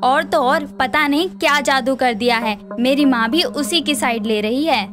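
A woman voicing a quick-tempered, dramatic family woman in a cartoon, with emphatic delivery. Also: high voice, a bit bright. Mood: angry, taunting.

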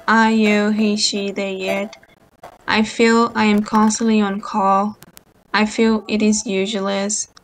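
Unhurried Portuguese-speaking woman on a call. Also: medium pitch, rough recording. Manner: slow, hesitant, many ums. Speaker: book club presenter. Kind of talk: casual video-call discussion, reading aloud. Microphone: video call.

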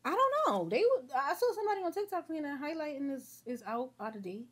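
A woman's voice: high-pitched, very animated, quick pace, fairly bright tone. A young woman doing her makeup at home and chatting to viewers, a little sniffly from a sore throat, rambling in a friendly way. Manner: rambling, chatty, talks to viewers as y'all and girl. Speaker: beauty vlogger. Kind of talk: casual get-ready-with-me chat.

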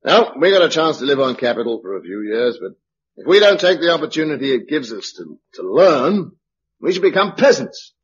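Fiery British agitator for vintage radio drama; swinging, argumentative delivery, muffled recording. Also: male voice, medium pitch, sing-song, brisk, husky. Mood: urgent, persuasive. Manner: emphatic, argumentative, speechifying. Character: hot-tempered forceful male organizer urging survivors to rebuild. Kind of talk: 1950s-60s British radio drama acting. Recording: dull old radio broadcast recording.

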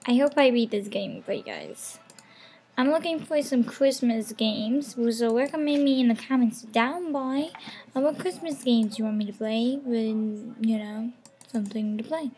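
A woman's voice: a little high, moderate pace, darkish tone. A young woman losing her voice, chatting casually over gameplay with rambling, half-whispered asides from a webcam at home. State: losing her voice, sore throat. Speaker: gaming channel host. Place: home room.

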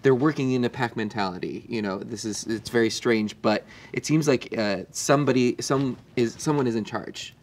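Man, average pitch, tabletop player, casual analytical talk, hesitant phrasing. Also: normal speed, hardly any pauses. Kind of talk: casual in-game discussion. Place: indoor studio set.

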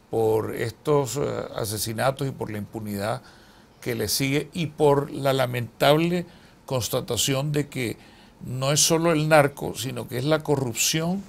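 An older man with a Colombian accent, a journalist answering interview questions in a TV studio, deliberate and full of hesitant fillers. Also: medium pitch, vocal fry, a little hiss or hum. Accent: Colombian Spanish. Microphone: lapel.

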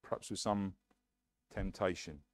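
Middle-aged english guy preaching, earnest and emphatic, lowish voice, headset mic. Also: fairly even intonation, unhurried. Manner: earnest, emphatic preaching with hand gestures at a lectern. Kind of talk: sermon. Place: church hall, medium size, indoors.

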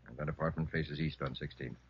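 An actor playing a gruff, hard-bitten police chief in 1950s radio drama, giving orders in a terse, authoritative way. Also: male voice, very deep voice, steady tone, fast talker, no echo, exaggerated voice. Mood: businesslike. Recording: dull old radio recording.

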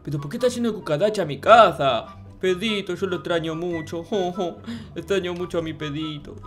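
A naive, excitable noob boy acted out by a gamer in an improvised game skit. The talk stammers, loops on the same words and bursts into exclamations. Music plays behind. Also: medium pitch, normal speed, close to an everyday voice. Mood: puzzled, whiny. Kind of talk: improvised gaming roleplay skit.

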